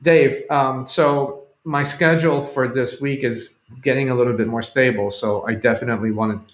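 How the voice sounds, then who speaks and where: A man, a Cantonese speaker, chatting casually and hesitantly as he hosts a livestream, rambling and thinking aloud. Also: lowish voice. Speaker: livestream host. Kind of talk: casual live chat with viewers. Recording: roomy, echoing home recording.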